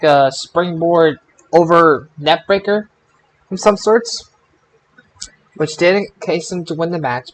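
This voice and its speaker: Male podcast host, slightly high voice, informal and halting sports recap delivery. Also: low-quality recording. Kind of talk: casual wrestling results chat.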